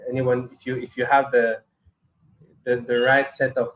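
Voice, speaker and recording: A man's voice: medium pitch, little pitch movement, normal speed, highs cut off. A middle-aged man from Cameroon, hosting a live broadcast in a casual way, with frequent restarts, fillers and small chuckles. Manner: hesitant, many restarts and fillers, chuckles often. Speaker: host of a weekly live broadcast on space engineering. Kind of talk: casual live talk to viewers.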